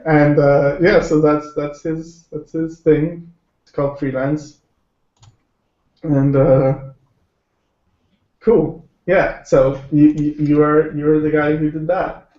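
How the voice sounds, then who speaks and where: Male stream host, unhurried and halting, joking, roomy sound. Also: on the high side, level tone, mellow. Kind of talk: casual live stream chat. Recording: roomy, slightly dull stream audio.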